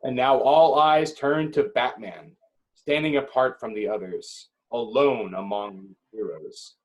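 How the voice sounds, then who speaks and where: A man narrates screenplay directions in a mid-pitched voice. He reads slowly and dramatically, setting each phrase apart with deliberate little pauses. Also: low-quality recording. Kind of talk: dramatic scripted narration at an amateur table read.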